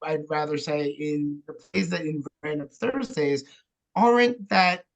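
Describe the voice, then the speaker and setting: Male, notably high voice, conversational and earnest, talks things through. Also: measured pace, gravelly, poor audio. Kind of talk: casual conversation about theatre.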